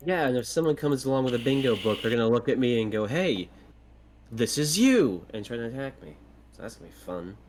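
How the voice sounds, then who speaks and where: A man's voice: medium pitch, fast, darkish tone. A man playing in a tabletop game, talking casually in character with a dry, sarcastic manner. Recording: noticeable background room noise.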